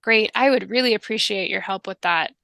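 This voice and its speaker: A woman with a calm, polite and methodical manner, giving instructions in a scripted public health training video. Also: mid-range voice, fairly quick, gravelly, no echo, clean studio sound. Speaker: public health interviewer and narrator.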